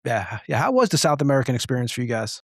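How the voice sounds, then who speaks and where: A man's voice: medium pitch, sing-song, fast talker, no room sound, clean studio sound. A man hosting a casual music interview, asking informal, rambling questions. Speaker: podcast host interviewing a band.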